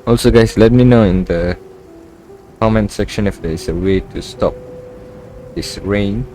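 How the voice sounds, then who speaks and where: A man's voice: lowish voice, unhurried, dark tone, slight background noise. A man giving casual live commentary as he streams a video game.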